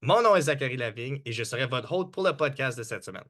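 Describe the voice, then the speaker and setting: A man hosts a podcast interview. His voice sits at a middle pitch, and he guides the talk with polite, orderly questions. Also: expressive, fast talker, a bit bright. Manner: polite, asks structured questions. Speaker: podcast host.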